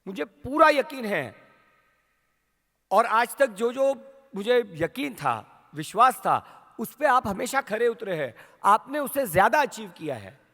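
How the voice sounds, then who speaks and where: A man with an Indian accent giving a rousing motivational speech to his company's sales team, asking the crowd questions and building to shouts. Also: extremely high pitch, sing-song, slow pace. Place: large hall at a training event. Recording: long hall reverb.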